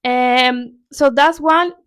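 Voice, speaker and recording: A woman's voice: high-pitched, fairly bright tone, clear, resonant tone. A young woman from Argentina with an Argentine accent, a native Spanish speaker chatting casually as a book vlogger and often restarting her sentences. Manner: unhurried, chatty, restarts and corrects herself often. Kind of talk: casual chat.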